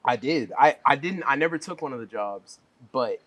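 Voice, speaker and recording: A man's voice: mid-range voice, animated, normal speed, fairly bright tone, no room sound. Young American man telling stories. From United States. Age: young adult. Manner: storytelling, many "like" and "you know" fillers. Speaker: interview guest. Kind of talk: casual interview chat.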